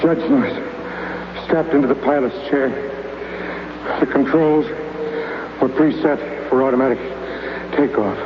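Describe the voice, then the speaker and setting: A man's voice: medium pitch, takes it slowly, pauses often, background noise, close to an everyday voice. A man playing a world-weary old fat hero. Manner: hard-boiled, wry narration. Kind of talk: 1950s radio drama narration. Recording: muffled old radio broadcast with music.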